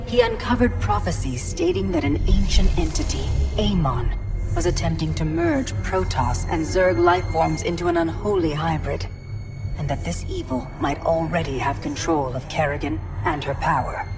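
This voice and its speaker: A woman acting as a solemn, measured chronicler, narrating a galactic war saga for a game cinematic. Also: deep voice, close to an everyday voice. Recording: prominent orchestral music behind. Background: music.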